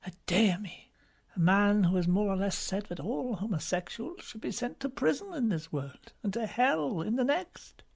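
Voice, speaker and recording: A man's voice: sits high, expressive, moderate pace, vocal fry, pitched above the performer's own voice, full character voice. A man voicing a modest, dutiful older cardinal in an audiobook, with an earnest, self-effacing manner. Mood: appalled.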